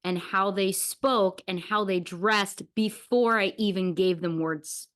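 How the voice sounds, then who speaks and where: A woman's voice: medium pitch, steady tone, slow pace, a bit bright. Informal, effusive woman hosting a podcast. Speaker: podcast host. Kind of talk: casual podcast conversation.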